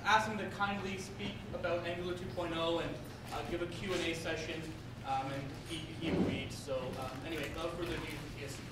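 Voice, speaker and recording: A man's voice: fairly high pitch, steady tone, brisk, hardly any pauses. A man in his thirties hosting an event, giving an informal, joking introduction with hesitant delivery. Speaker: event host introducing a speaker. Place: indoor meetup room. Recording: very echoey room, distant mic, loud noise.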